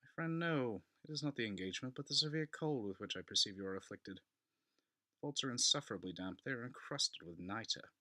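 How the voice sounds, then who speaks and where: A man as a scheming gothic nobleman, falsely solicitous, polite, insinuating, crisp forceful edge, dry sound. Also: medium pitch, expressive, a little fast, no echo, voice pushed up. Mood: feigned concern. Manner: courteous, insinuating, mock-concerned. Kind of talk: dramatic audiobook acting.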